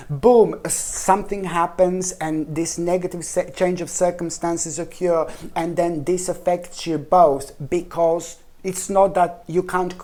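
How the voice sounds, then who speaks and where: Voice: mid-range voice, dark tone. A tarot reader giving a casual reading to camera, chatty and rambling, often trailing off and restarting sentences and calling the viewer by a pet name.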